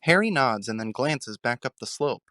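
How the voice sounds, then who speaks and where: Dry, mid-pitched male narrator. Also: animated, normal speed, a bit bright, no room sound. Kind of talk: scripted narration of a fan audio drama. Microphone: close mic, dry recording.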